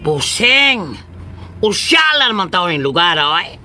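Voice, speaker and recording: Radio drama: comic male Cebuano sidekick, grumbling, high-pitched, piercingly bright, exclamatory. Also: big pitch swings, slow, full character voice. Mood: exasperated. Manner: complaining, exclamatory. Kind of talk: Philippine radio drama acting. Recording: faint vehicle noise. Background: car ambience.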